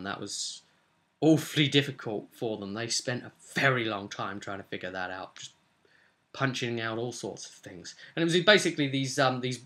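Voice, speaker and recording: A man's voice: medium pitch, a bit bright. A young man giving a casual, unscripted vlog recap as a tabletop game master, explaining in a loose, rambling way. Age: young adult. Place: small furnished room at home, indoors.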